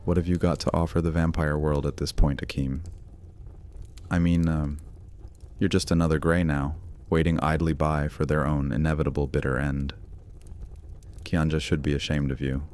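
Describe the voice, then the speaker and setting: A man's voice: very deep voice, little pitch movement, moderate pace, darkish tone. A man playing a cocky, taunting immortal vampire gladiator in a dramatic audiobook roleplay, with a sneering drawl. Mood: sneering contempt.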